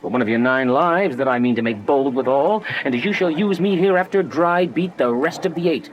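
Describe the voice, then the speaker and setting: A man's voice: on the high side, expressive, fairly quick, dull audio, slight background noise, natural voice. An actor playing a witty, fiery young nobleman in a Shakespearean radio drama, theatrical and mocking. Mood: mocking challenge.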